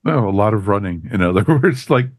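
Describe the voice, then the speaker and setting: Low-voiced man chatting on a podcast. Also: lively intonation, fairly quick, darkish tone, dry recording, clean studio sound. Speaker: podcast co-host reviewing audio dramas. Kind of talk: casual review chat.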